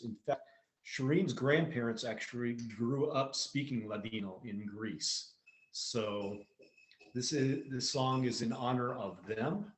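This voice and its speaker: A man, a cantor, introducing a song and explaining its background in a halting, informative way. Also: medium pitch. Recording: echoey room sound.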